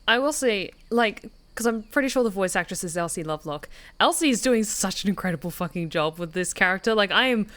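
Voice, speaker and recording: A woman's voice: mid-range voice, lively intonation, brisk, few pauses, fairly bright tone. A woman streaming a game, chatting casually and rambling with fillers and swearing. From Australia. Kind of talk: casual let's-play commentary. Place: at home, in the UK.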